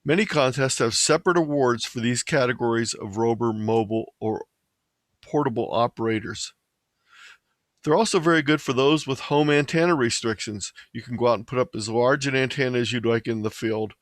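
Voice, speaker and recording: A man narrating a scripted slideshow presentation in a measured, slightly halting way, stumbling over the odd word. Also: medium pitch, dry recording, clean studio sound. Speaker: presenter of an amateur radio slideshow.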